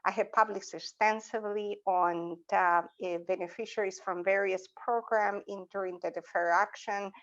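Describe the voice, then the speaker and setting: A middle-aged woman with a Salvadoran Spanish accent, introducing her academic work as a panelist in deliberate, halting phrases. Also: medium pitch, steady tone, fairly bright tone, muffled recording. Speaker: panelist, associate professor. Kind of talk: semi-formal panel self-introduction.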